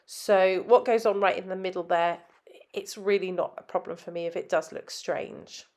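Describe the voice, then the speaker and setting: A woman's voice: on the low side, steady tone, moderate pace, a little mellow. A woman designer narrating a scrapbooking tutorial in a chatty, step-by-step way, sounding nasal from a head cold. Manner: chatty, explains step by step with frequent ums.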